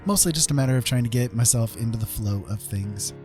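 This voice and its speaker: A man's voice: medium pitch, fast, a little mellow. A man chatting casually and laid-back on a livestream, thinking aloud as he paints. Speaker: streamer, digital painter. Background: piano music.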